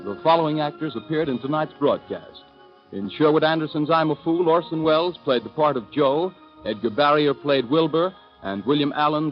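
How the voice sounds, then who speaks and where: A man with an American accent announcing the credits for a 1930s radio broadcast in a crisp, formal delivery. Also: on the high side, dull audio.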